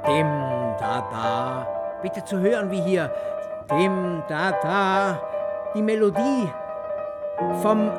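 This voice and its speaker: A man's voice: high-pitched, slow pace, long pauses. An actor playing a fervent male music lecturer in a radio play, declaiming in an ecstatic manner. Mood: insistent, absorbed. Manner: declamatory, ecstatic, breaking into singing and shouting. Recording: piano music loud under the voice. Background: piano playing.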